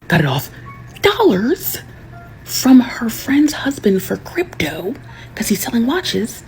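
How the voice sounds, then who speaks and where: A woman's voice: fairly high pitch, a little mellow, rough recording. A woman recapping a story in a sardonic, rhythmic way. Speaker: commentator.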